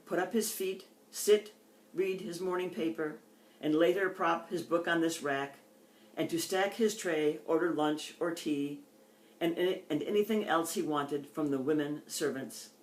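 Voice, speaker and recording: An older woman poet giving a reading with measured, deliberate phrasing and informal delivery between pieces. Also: sits low. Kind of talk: poetry reading with informal introductions. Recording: echoey, roomy sound.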